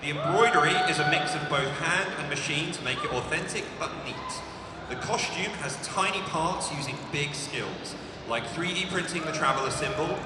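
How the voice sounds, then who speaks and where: A man co-presenting a convention stage show, reading out descriptions of entries in a brisk, lively way with the odd dry quip. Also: fairly high pitch, distant mic. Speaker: co-presenter reading entrant notes. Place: large convention hall stage. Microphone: handheld PA microphone. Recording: echoey PA in large hall, heard from afar. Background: loud crowd and hall noise.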